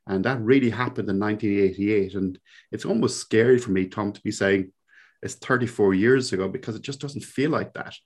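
A man's voice: on the low side, fairly quick, a little mellow, slightly rough. A man around sixty with a Cork Irish accent, a podcast guest who talks in a reflective, rambling way full of fillers. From Ireland. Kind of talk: conversational interview.

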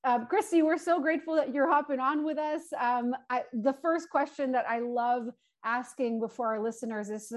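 A woman hosting a podcast, welcoming a guest and asking her opening question with fillers. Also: high-pitched. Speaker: podcast host. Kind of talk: podcast interview intro.

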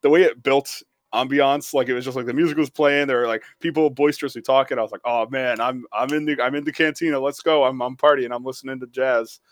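A man co-hosting a podcast, chatting casually and often halting as he searches for words. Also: medium pitch, steady tone, no room sound. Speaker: podcast co-host. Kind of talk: casual chat.

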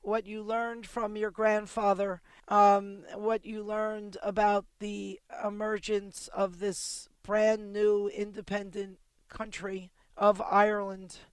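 A woman's voice: medium pitch, level tone, slow pace, few pauses, smooth, dry recording. A woman interviewing a guest over a remote call, asking eager, curious questions.

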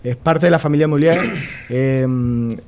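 A man's voice: mid-range voice, takes it slowly, darkish tone, slight background noise. A man with a Venezuelan accent, a guest on a radio interview, answering with many fillers and restarts. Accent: Venezuelan Spanish. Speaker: guest, company security chief.

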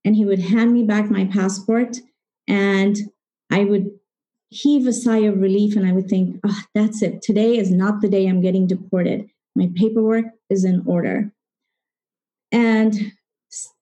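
Mellow-voiced middle-aged woman telling a story. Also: mid-range voice, steady tone, unhurried, lo-fi sound. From Zambia. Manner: reflective, poses rhetorical questions, acts out dialogue. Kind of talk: personal storytelling at a live event.

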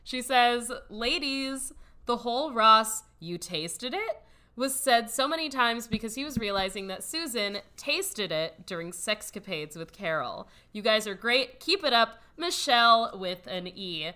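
A woman's voice: a little high, animated, unhurried, pushed and bright, clear, resonant tone. A young woman co-hosting a podcast, bubbly and upbeat, talking casually with lots of "like". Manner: bubbly, upbeat, says "like" a lot. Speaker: podcast co-host. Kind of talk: casual chat.

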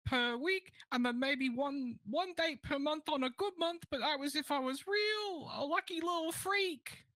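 A man doing a squeaky little gremlin voice. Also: measured pace, bright, forceful tone, no echo, exaggerated voice. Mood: gleeful. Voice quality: high squeaky put-on voice. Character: squeaky little male gremlin, weird and gleeful.

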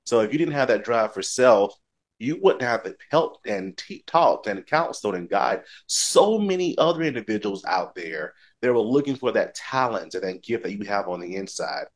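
Encouraging male host, lowish voice. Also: animated, moderate pace. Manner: encouraging, affirming. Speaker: talk show host. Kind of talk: conversational motivational interviewing.

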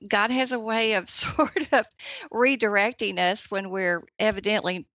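Casting: woman in her 70s who sounds far younger, girlish voice with some fry, warm podcast-guest chat, dry and slightly dull recording. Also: mid-range voice, steady tone, unhurried, no room sound. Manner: soft-spoken, laughs often, gentle. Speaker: podcast guest, writer and editor. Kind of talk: casual interview chat.

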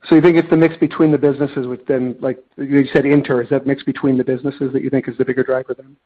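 A man's voice: medium pitch, level tone, fast talker. A man speaking as a financial analyst, putting detailed, probing questions on an earnings call and persistently rephrasing them. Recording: tinny, narrow phone line.

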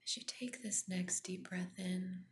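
A woman guiding a meditation in a soft, breathy near-whisper, gentle and soothing. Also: lowish voice, steady tone, measured pace. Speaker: meditation guide, podcast host. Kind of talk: guided meditation.

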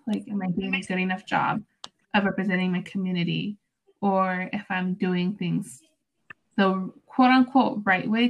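A woman's voice: medium pitch, rough recording. Reflective young woman student. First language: Spanish. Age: young adult. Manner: reflective, many fillers and restarts. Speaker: student panelist. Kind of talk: casual panel discussion.

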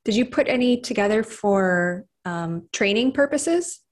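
A woman's voice: medium pitch, expressive, unhurried. Woman with a Michigan accent asking questions. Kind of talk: interviewing panel guests.

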